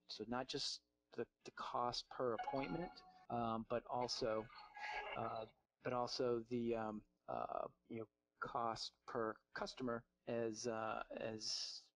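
A man walks through marketing slides in a webinar, his pitch sitting in a middle range. He talks off the cuff and stops often to restart. The sound is dry and close, with no room around it. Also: dry recording, rough recording. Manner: halting, many fillers and restarts. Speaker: marketing presenter.

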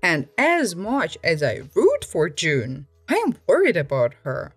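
Woman narrating stories with very lively pitch. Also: a little slow, husky, faint music behind. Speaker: host reading Reddit stories. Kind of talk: scripted storytime narration.

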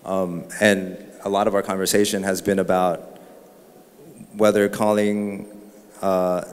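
Low-voiced guy on a handheld mic, pauses a lot, gallery hall reverb. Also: little pitch movement, clean tone. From Vietnam. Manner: thoughtful, hesitant, jokes with the audience. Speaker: artist panelist. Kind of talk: panel discussion. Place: gallery room with a live audience.

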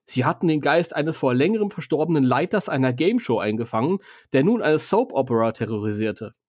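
Lively German man, mid-pitched and dry. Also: brisk, dry recording. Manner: teasing, joking asides. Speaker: podcast co-host reading a film novel aloud. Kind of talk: story reading with casual banter.

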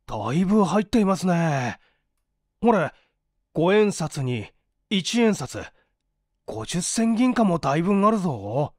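A woman performs a jobless man in an audio story, with lively, swinging intonation. He is hungry, brazen and wry, talking politely but pushily in an old-fashioned way, in a dry recording. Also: on the low side, unhurried, long pauses, dry recording. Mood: excited.

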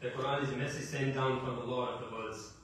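A man's voice: medium pitch, flat delivery, quick pace, a bit bright, recorded from a distance, a little hiss or hum. A man giving a Friday lecture at a mosque, talking in an earnest, conversational way with many fillers and rhetorical questions. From not from an Arabic-speaking country. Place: mosque prayer hall. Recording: very echoey hall, speaker off-mic.

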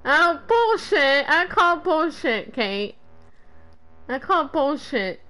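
Female game streamer, slightly high voice, unhurried, mock-irritated banter, music clearly behind her. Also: very animated, bright, forceful tone. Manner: teasing mock-annoyed retorts, swearing. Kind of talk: casual banter while livestreaming a video game. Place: voice chat over a game stream.

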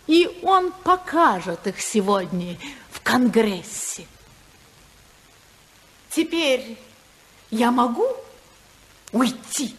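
A proud, determined woman in anguish in a 1950s radio drama. Her voice is rather high and husky, and she speaks with theatrical emphasis and dramatic pauses. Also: sing-song, full character voice. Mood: defiant, agitated. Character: Margaret, anguished, proud, determined senator's wife. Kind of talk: 1950s Russian radio play acting.

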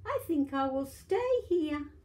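A meek, sweet little storybook kitten played by a woman. The voice is very high and slow, with childlike, stretched-out meows. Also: expressive, dark tone. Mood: happy, affectionate. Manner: drawn-out meowing, childlike.

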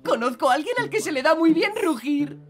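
Excitable cartoon character, Castilian accent, very high voice, animated intonation, expressive. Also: female voice, a little fast, soft background music. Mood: delighted. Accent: Castilian Spanish. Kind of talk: animated children's cartoon dub.

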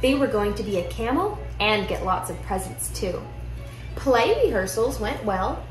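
A young woman reads a children's picture book aloud with expressive storytelling in a living room. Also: mid-range voice, measured pace. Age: young adult. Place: indoor living room at home. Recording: roomy echo, music behind.

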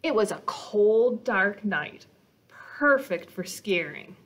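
A woman in her thirties reading a picture book aloud for children as a storytime host, expressive and deliberate. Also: medium pitch. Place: small indoor reading corner.

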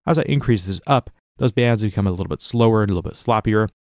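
A man narrating a casual step-by-step software tutorial in an informal, explanatory way. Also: lowish voice, fast talker, dry recording, clean studio sound.

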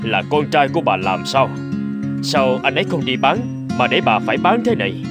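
A man's voice: medium pitch, big pitch swings, moderate pace, lightly acted. A man voicing a courteous middle-aged poet and nobleman in a folk-tale cartoon, speaking politely and theatrically. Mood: curious, friendly. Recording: faint music behind the voice.

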